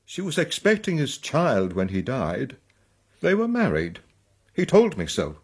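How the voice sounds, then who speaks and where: An actor playing a sentimental, gallant old military Count with a husky voice in a period radio drama. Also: male voice, mid-range voice, wildly swooping pitch, a little slow, full character voice. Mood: mournful. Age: older.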